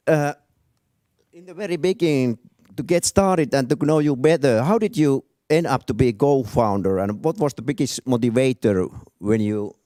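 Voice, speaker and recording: A man's voice: medium pitch, a little mellow, dry recording, clean studio sound. Man moderating a panel. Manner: hesitant, restarts sentences. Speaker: moderator, business advisor. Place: event stage. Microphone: handheld.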